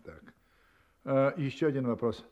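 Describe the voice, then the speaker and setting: A man's voice: medium pitch, animated, a little mellow, muffled recording, close to an everyday voice. A man acting a shrewd, composed private detective in a Russian audio drama, questioning in a probing way with wry interjections. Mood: businesslike, courteous. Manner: probing, unhurried, ironic interjections.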